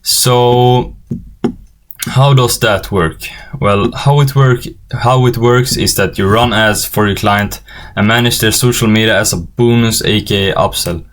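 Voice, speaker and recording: Low-voiced teenage guy with Swedish accent. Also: unhurried. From Sweden. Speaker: online business course instructor. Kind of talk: informal tutorial narration.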